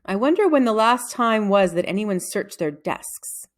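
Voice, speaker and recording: Woman reading as brisk deputy headmistress. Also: mid-range voice, lively intonation, lightly acted. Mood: brisk, probing. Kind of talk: children's storybook dialogue.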